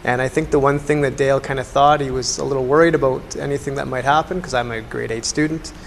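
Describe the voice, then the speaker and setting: Creaky-voiced Canadian man in a reverberant room. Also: medium pitch, steady tone, quick pace. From Canada, Alberta. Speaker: colleague giving a tribute. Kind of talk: casual reminiscence. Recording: reverberant room, faint background noise.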